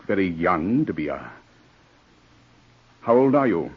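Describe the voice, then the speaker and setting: An actor playing a composed, authoritative gentleman in a 1940s radio drama, husky-voiced, measured and questioning. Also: low voice, sing-song, full character voice. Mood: grave, controlled. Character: composed, commanding English gentleman, brisk and probing. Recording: muffled vintage radio recording.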